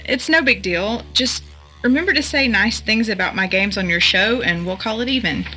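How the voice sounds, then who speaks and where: An actress playing a skeptical game-hardware expert in an audio drama, dry and matter-of-fact. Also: female voice, medium pitch, normal speed, bright, forceful tone, faint music behind, natural voice, low-quality recording. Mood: easygoing, dry. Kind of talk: audio drama, naturalistic dialogue.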